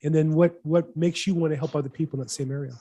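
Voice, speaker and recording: A man's voice: a little high, steady tone, fast, mellow, breathy edge. A man hosting a weekly real estate video call, upbeat and enthusiastic, asking long rambling questions. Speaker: host, real estate broker. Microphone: video call mic.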